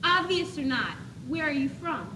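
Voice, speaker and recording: A woman's voice: high-pitched, sing-song, normal speed. A female student actor playing a bossy, precocious baby girl doll who questions bluntly in a stage comedy. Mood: skeptical, prodding. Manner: blunt, questioning, know-it-all. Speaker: student actor in a school play. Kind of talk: stage one-act comedy acting. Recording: noisy 1985 school video tape.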